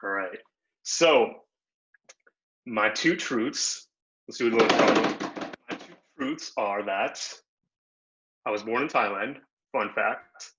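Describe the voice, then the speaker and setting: A man's voice: fairly low pitch, takes it slowly, pauses often, a bit bright, slight background noise. A young man from Bangladesh giving a casual, upbeat online workshop talk over a video call, full of slang and fillers. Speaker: workshop presenter. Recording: dull lo-fi video call audio.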